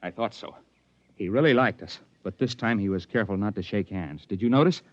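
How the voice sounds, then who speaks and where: An actor playing a wry, shrewd, suspicious mountain climber in a 1950s radio drama, talking in a dry, knowing way. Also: male voice, fairly low pitch, fairly quick, no room sound, lightly acted. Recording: muffled dry vintage radio recording.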